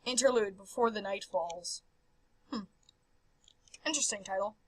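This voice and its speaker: A woman hosting a game playthrough, reading visual novel text aloud with animated, casual reactions and stumbling over words now and then. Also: mid-range voice, normal speed, slight background noise, low-quality recording. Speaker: host of a video game playthrough. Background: game background music.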